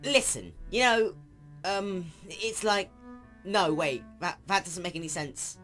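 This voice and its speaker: A woman acts out a brash tomboy pegasus from a cartoon fan story. The voice is pushed high and jumps around with excitable, teasing cockiness. The delivery is slangy and full of exclamations. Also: takes it slowly, faint music behind. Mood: awkward, flustered. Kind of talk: dramatic fan-fiction reading.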